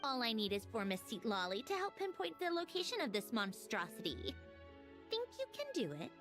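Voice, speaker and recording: An actress playing a calm, confident, faintly smug female agent in a game cutscene. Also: on the high side, a little fast. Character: cool, self-assured female Fatui agent. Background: game music.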